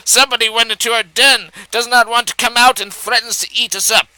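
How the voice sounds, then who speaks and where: A man's voice: extremely high pitch, fairly even intonation, a little fast, pushed and bright, dry recording, voice pushed up, exaggerated voice, low-quality recording. A man voicing a frightened, tearful mother fox who wails about losing her den, in a storybook reading. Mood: distressed.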